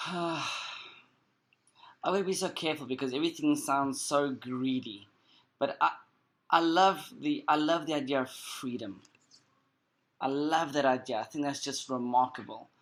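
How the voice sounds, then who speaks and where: A man with a South African accent and a medium-pitched voice speaks as an interview guest. His first language is Creole Portuguese. He talks conversationally and reflectively, building earnest personal stories through emphatic repetition. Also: unhurried, pauses often, fairly bright tone. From South Africa and Cape Verde. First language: Cape Verdean Creole Portuguese.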